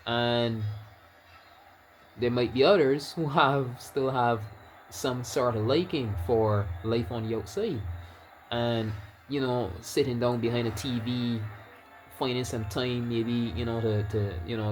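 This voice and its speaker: A man's voice: mid-range voice, fairly even intonation, a little slow. A man from Barbados with a Barbadian accent, chatting loosely while he plays a video game, often trailing off and restarting his words. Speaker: gaming YouTuber. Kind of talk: casual gameplay commentary.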